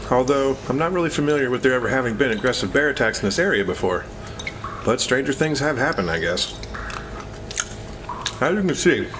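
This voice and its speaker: A man's voice: medium pitch, background noise, natural voice. A man playing a disheveled, matter-of-fact morgue technician in an audio drama, explaining things in clinical terms.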